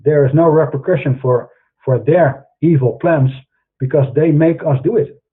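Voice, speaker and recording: A man's voice: on the high side, steady tone, moderate pace, husky. A middle-aged man with a Dutch accent, hosting an online show and speaking earnestly in a preachy monologue with frequent restarts. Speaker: host. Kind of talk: monologue to camera. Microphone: webcam or online call. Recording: tinny phone-like line.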